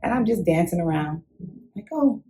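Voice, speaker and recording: A young woman talks through her childhood memories in an easygoing interview. She is animated, laughs often and acts out what she felt back then. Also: lowish voice, moderate pace, low-quality recording. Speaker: interview guest. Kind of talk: casual conversational storytelling.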